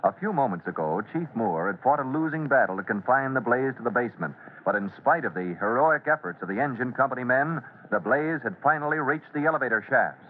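A man's voice: medium pitch, expressive, fairly quick. A man narrating a 1940s-style radio serial as its announcer, dramatic and declamatory. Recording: tinny, noisy old radio broadcast.

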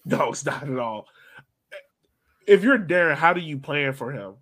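A man's voice: fairly high pitch, animated, moderate pace, fairly bright tone. A man hosting a sports podcast, chatting casually and putting questions to his co-hosts in a conversational way. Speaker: podcast host. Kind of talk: casual soccer podcast chat.

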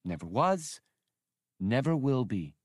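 Male narrator as tough young spacefaring woman, proud and blunt, raised pitch, emphatic delivery, sci-fi audiobook. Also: sing-song, slow, slightly rough, no room sound, studio quality, heavily acted. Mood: proud, firm. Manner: scolding, emphatic.

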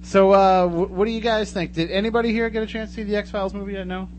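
Higher-voiced man hosting radio, noisy recording. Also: steady tone, fast. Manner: joking, bantering. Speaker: radio show host. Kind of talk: casual live radio talk. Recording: noisy live radio broadcast with hum. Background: clear noise and hum.

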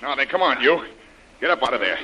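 An American actor playing a tough, commanding adventurer in an old-time radio drama, giving brisk, impatient orders. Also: male voice, mid-range voice, expressive, sharp tone, poor audio. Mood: angry, forceful. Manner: brisk, authoritative, clipped orders. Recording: old radio broadcast with faint noise.

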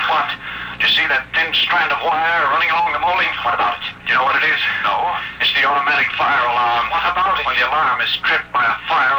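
An actor playing a desperate, urgent asylum patient plotting escape in a vintage radio drama. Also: male voice, medium pitch, natural voice. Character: frantic male asylum patient whispering an escape plan. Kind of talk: 1950s radio drama acting. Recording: noisy old radio broadcast recording.

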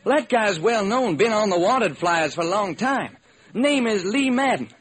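Radio detective drama: folksy, baffled police lieutenant, high husky voice, earnest and slangy. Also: male voice, animated, measured pace, a bit bright, exaggerated voice. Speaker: actor. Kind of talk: old-time radio detective drama acting. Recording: noisy vintage radio recording.

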